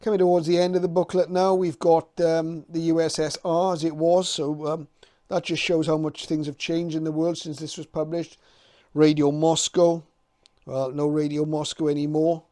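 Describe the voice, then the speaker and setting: A man's voice: a little high, level tone, moderate pace, warm tone. A middle-aged man giving informal, unscripted commentary as a hobbyist presenter, hesitant and reminiscing as he goes.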